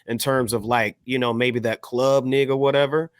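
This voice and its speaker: A man bantering casually as a music podcast panelist, slangy and halting as he hedges his opinions. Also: mid-range voice, steady tone, dry recording, clean studio sound.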